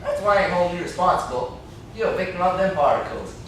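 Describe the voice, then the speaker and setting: A man's voice: high voice, animated, fast talker, fairly bright tone. A young man playing an indignant, blustering country captive in an amateur stage play, with a folksy, complaining, threatening way of talking. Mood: angry, scolding. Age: young adult. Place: classroom-like room, indoors. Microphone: far camera. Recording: echoey room, distant camera mic, faint noise.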